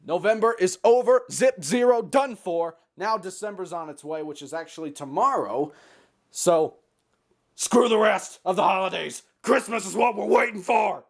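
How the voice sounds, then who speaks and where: American male hobby vlogger, higher-pitched voice, chatty and playful, casual show-and-tell delivery. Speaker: hobbyist video host.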